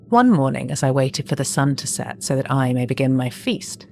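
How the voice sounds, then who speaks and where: A woman voicing a contented, naive scavenging monster who narrates a horror audio story in a measured, expressive way. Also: low voice, vocal fry, a little hiss or hum, exaggerated voice. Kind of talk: scripted first-person horror audio story.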